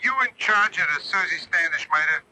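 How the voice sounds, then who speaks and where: An actor playing a shady fence turned eager informant in a radio mystery, talking in a clipped, streetwise way. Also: male voice, on the high side, a little fast, bright, forceful tone, no echo. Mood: eager, confiding. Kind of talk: radio mystery drama acting. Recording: noisy AM radio broadcast.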